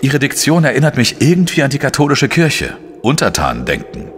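An actor playing a dry, defiant investigative journalist in a radio play, with a sardonic, laconic manner. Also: male voice, mid-range voice, wildly swooping pitch, a little fast, a little hiss or hum. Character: dry, defiant male investigative journalist, narrating his case. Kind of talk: radio play dialogue and first-person narration.

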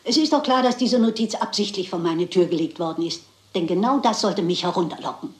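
An actress playing a shrewd, composed elderly lady detective in a German crime radio play, speaking in a measured, polite and resolute way. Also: mid-range voice, lively intonation, roomy sound, natural voice. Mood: composed, determined. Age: older.

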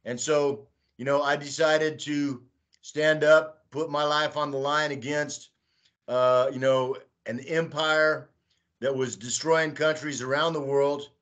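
A man in his sixties from Texas, talking as a guest on a video call from a small room at home, slow and rambling with many "uh" fillers. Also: fairly high pitch, steady tone, a bit bright. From Texas, United States. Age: 60s. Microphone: webcam on a video call.

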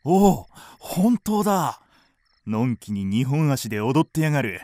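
A man playing a hot-headed, vengeful village hunter in a radio drama, rough and blustering. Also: mid-range voice, big pitch swings, unhurried, mellow, no echo, studio quality. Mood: cocky, determined.

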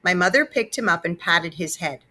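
A woman narrating a children's story aloud in an expressive read-aloud style. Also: fairly low pitch, moderate pace.

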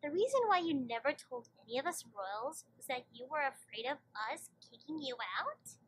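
A woman voicing a feisty, excitable young female pony royal in a fan animation. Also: high-pitched, normal speed, slight background noise, poor audio. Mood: frank. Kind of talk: animated fan drama dialogue.

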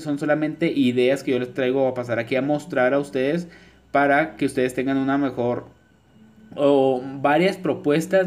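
A man's voice: fairly high pitch, a little mellow. A man narrating a nail art tutorial over the footage, chatty and informal, full of fillers and asides to viewers. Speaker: nail artist teaching acrylic nail design.

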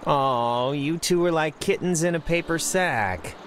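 A man voicing a laid-back, reticent teenage boy in naturalistic video game dialogue, casual and low-key. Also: measured pace, fairly bright tone, a little hiss or hum. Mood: wry. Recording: game music under the voice.

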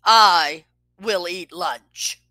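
A woman's voice: on the low side, sharp tone, no echo, pitched below the performer's own voice, heavily acted. A woman voicing a skeptical, fussy, dramatic elephant with emphatic delivery in a children's storybook read-aloud. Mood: flatly doubtful. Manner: emphatic, deliberate, melodramatic.

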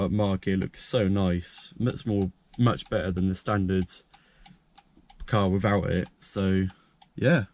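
Young man, croaky and casual. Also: on the low side, a little mellow. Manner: rambling, filler-heavy. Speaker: car vlogger. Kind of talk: casual point-of-view car walkaround. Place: parked car by a country lane, outdoors and inside the car. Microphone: action camera held by hand.

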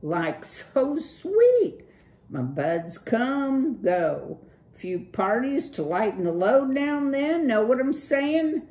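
A woman's voice: on the high side, very animated, slow, mellow, pitched above the performer's own voice, exaggerated voice. A woman voicing a cool, slang-talking young male city mouse with a laid-back, breezy drawl. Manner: laid-back surfer slang, keeps saying "dude".

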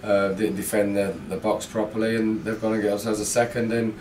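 Flat-voiced football manager, echoey room. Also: male voice, lowish voice, a little fast, recorded from a distance, a little hiss or hum. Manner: frustrated, blunt, rambling with fillers. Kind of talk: post-match interview. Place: small indoor club room. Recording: echoey room, mic set back.

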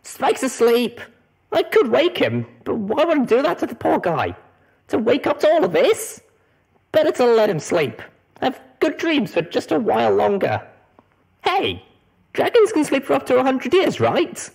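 A performer voicing a weary, defiant, cocky female pegasus hero with a husky voice in a storybook reading. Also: mid-range voice, darkish tone, voice pushed up, full character voice.

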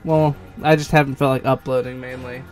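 A man's voice: medium pitch, little pitch movement, brisk, soft background music. A young man with an American accent telling a personal story in a casual, rambling, sweary way. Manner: rambling, profane. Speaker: YouTube storyteller. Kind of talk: casual storytime over gameplay.

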